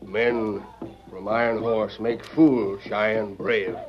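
An actor playing a stern, proud, wary chief in an old radio western, speaking terse, halting broken English with grunts. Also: male voice, fairly low pitch, fairly even intonation. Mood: stern, guarded. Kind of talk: 1940s radio western acting. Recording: muffled old radio recording with music.

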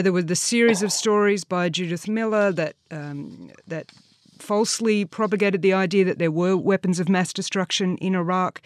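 An interviewer asking measured, concise questions. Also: medium pitch, no room sound.

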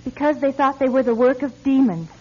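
A woman's voice: sits high, a little fast, darkish tone, slightly rough. An actress playing an anxious, superstitious woman with an earnest, urgent manner in a radio drama. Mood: ominous, urgent. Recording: dull lo-fi radio recording.

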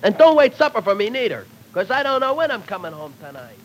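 A man's voice: high voice, very animated, quick pace, slight background noise, poor audio. An actor playing a brash, streetwise young man who talks in slangy tough-guy wisecracks, in 1940s radio acting style. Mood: hurt.

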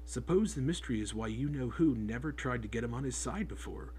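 A man reading an audiobook, voicing a big rough-spoken groundskeeper who talks in homely, clipped speech. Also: mid-range voice, expressive, a little fast, darkish tone. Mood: worried storytelling. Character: big rough-spoken male groundskeeper, gruff but kind. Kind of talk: audiobook character voice.

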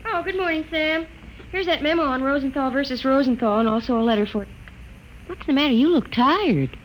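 A woman's voice: high voice, fairly quick, a little hiss or hum, lightly acted, rough recording. An actress playing a flighty, chatty young secretary in a mid-century radio drama, girlish and coy in manner. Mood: breezy.

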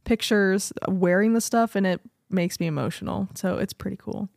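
A woman's voice: medium pitch, moderate pace, creaky, darkish tone, no room sound, studio quality. A young woman co-hosting a casual podcast, playful and teasing, giggling often. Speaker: podcast co-host.

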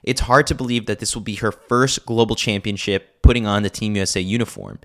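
A man's voice: on the low side, a little fast, fairly bright tone. An American man narrating a scripted sports newsletter as a podcast host.

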